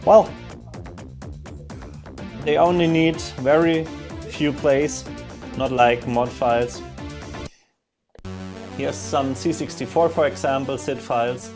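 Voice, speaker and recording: Man casually demoing software, music behind. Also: mid-range voice. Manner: halting, thinking aloud as he goes. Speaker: software demonstrator. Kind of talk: casual screen demo narration. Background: music from audio players being demonstrated.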